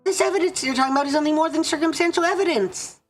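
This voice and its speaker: A woman's voice: high voice, racing, faint music behind, natural voice. A woman voicing an earnest, insistent nine-year-old girl who trusts and defends her mother, in a visual novel fan dub. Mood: stubbornly defensive.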